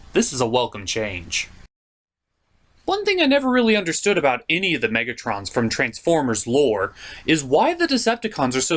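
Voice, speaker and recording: Male comedic review host, slightly high voice, very lively delivery, informal and opinionated episode commentary. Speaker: host of a cartoon review show.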